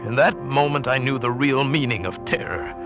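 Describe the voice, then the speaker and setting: An actor playing a hunted, desperate castaway, narrating his ordeal with tense, deliberate intensity in a 1940s radio drama. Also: medium pitch, close to an everyday voice. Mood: tense, dread. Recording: dull vintage 1940s radio recording. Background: dramatic music.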